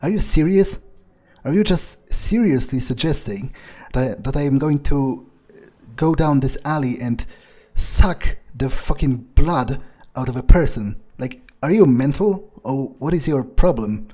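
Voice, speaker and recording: Casting: man playing confused, sweary fledgling vampire for game roleplay, slow and hesitant, husky voice, irritable disbelief. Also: mid-range voice, expressive, dark tone. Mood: incredulous, annoyed. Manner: hesitant, muttering, incredulous, halting repetitions. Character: bewildered, foul-mouthed, irritable newly turned male vampire. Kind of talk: improvised in-character game roleplay.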